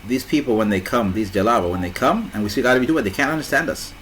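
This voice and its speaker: A man's voice: medium pitch, expressive, racing, fairly bright tone, slight background noise. A young man from South Sudan telling stories in casual chat, hesitant with many fillers.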